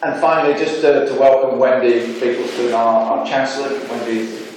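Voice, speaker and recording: A man's voice: mid-range voice, fairly even intonation, moderate pace, darkish tone, slight background noise. A man giving brief welcome remarks at a university event, hesitant and often repeating words. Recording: echoey theatre, distant mic.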